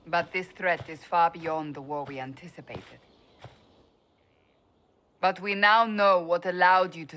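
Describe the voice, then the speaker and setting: A woman's voice: fairly low pitch, fairly bright tone. An actress playing a resolute woman advisor of a holy order, speaking earnestly and firmly in a video game cutscene. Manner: earnest, firm, persuasive. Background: faint game music.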